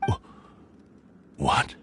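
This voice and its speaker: A man playing a sorrowful, devoted cursed sword spirit in a video game, grave and halting. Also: a bit bright, a little hiss or hum. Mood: troubled. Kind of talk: dramatic video game dialogue.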